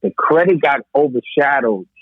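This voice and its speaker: A man's voice: medium pitch, expressive, moderate pace, no room sound. Guy from new york, podcast debate, kinda dull dry mic, emphatic, explains and repeats stuff. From New York, United States. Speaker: podcast co-host. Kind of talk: casual debate about hip hop. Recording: slightly dull, lo-fi podcast audio.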